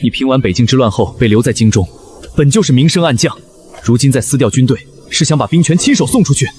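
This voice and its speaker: A man playing a proud, shrewd imperial chancellor in a historical animated drama, speaking in a measured, admonishing way. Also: mid-range voice, lightly acted. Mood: stern warning. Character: proud, aloof, shrewd male imperial chancellor, secretly a rabbit spirit. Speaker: voice actor in a costume drama.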